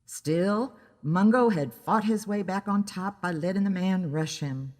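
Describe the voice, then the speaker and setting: A woman giving a deliberate, dramatic first-person narration of a scripted story. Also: medium pitch, darkish tone, big-room sound.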